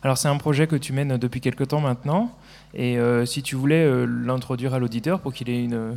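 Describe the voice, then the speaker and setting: A man's voice: medium pitch, fairly even intonation, a little fast, creaky, darkish tone, in a large hall. A man hosting a live radio interview, talking hesitantly with many filler sounds. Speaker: radio host.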